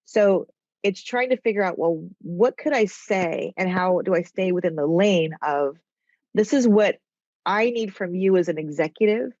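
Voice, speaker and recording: A middle-aged woman with a fairly low, nasal voice talks through her ideas in a rambling, animated way, cutting herself off and restarting. She is a guest on an interview podcast. The sound is dry and a little muffled, with no room echo. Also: normal speed. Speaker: TV writer and former executive, podcast guest. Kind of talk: casual conversational interview.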